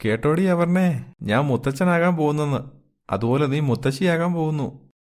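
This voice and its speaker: A man's voice: on the high side, normal speed, a little mellow, close to an everyday voice. Man acting an earnest, loving father. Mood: affectionate, happy. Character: loving, protective middle-aged father in a moral story.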